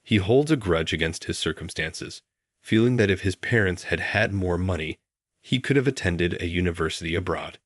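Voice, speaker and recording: A man in his thirties narrating a first-person story in an even, steady way. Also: deep voice, normal speed, dry recording, studio quality. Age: 30s.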